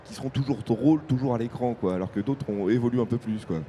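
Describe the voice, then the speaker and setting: A man's voice: medium pitch, fast, dark tone, slight background noise. A man with a Parisian accent interviewing guests, friendly and a little hesitant, laughing easily. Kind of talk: casual interview. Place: outdoors at a fan convention.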